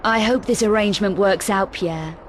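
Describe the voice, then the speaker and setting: A woman's voice: medium pitch, normal speed, natural voice. Actress as cool, menacing adventurer woman over noisy backdrop. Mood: sardonic, menacing. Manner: dry, menacing, deadpan. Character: tough, cool, sardonic young woman adventurer making veiled threats. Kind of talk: video game cutscene acting.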